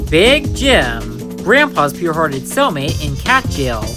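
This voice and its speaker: Measured woman narrator over music. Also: low voice, sing-song. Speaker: narrator of a children's comic dub. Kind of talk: scripted narration, read aloud. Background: music behind the voice.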